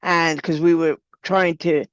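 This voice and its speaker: An older woman with a low, smooth voice, speaking conversationally as an interview guest about her work. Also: steady tone, a little slow, no room sound, lo-fi sound. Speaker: guest, writer and director.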